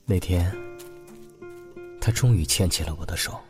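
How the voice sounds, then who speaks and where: A man's voice: sits low, moderate pace, lightly acted. An actor playing a reflective, tender man looking back on his life in a Mandarin radio drama. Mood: wistful. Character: man wistfully narrating a lost past, warm husband and father. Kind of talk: Mandarin radio drama acting with inner monologue. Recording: music under the voice.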